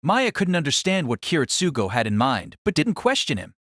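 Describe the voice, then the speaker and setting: Narrator for an audio drama: man, slightly high voice, very varied melody, echo-free sound. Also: very animated, fairly quick, fairly bright tone, clean studio sound.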